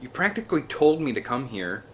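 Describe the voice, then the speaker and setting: A man's voice: medium pitch, sing-song, a little fast, slight background noise, voice pushed up, lightly acted. Man voicing a small, earnest, stubborn girl. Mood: quietly defensive. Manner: quiet, defensive. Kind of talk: audiobook character dialogue.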